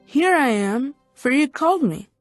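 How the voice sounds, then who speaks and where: A woman's voice: high voice, lively intonation, measured pace, no room sound. A woman playing an obedient, earnest young boy in a dramatized scripture audiobook, with music behind. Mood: eager, dutiful.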